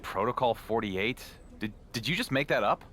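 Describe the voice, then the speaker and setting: A man's voice: medium pitch, animated, moderate pace, bright, forceful tone, a little hiss or hum. An actor playing a level-headed, skeptical champion wrestler in a video game cutscene, talking as a dry, incredulous straight man. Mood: incredulous, exasperated.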